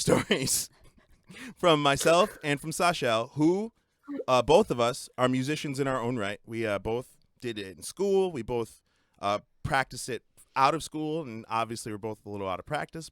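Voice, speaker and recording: Young male podcast co-host, playful and animated, laughs a lot, dry close sound. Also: medium pitch, moderate pace, smooth, dry recording. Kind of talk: casual podcast chat.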